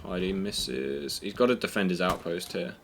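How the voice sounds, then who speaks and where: A man's voice: sits low, moderate pace, creaky, background noise. A man commentating a competitive game in a chatty, sweary way with dry jokes. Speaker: esports commentator. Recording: game gunfire and clicks behind. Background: gunfire and clicks from the game.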